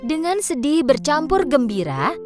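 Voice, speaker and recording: Animated woman storyteller over music. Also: high voice, moderate pace. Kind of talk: scripted children's story narration.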